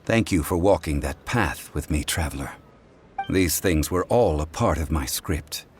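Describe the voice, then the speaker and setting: An actor playing a calm, ancient god in mortal form in a video game, formal, measured and eloquent. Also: male voice, rumbling low, slight background noise. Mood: composed, satisfied.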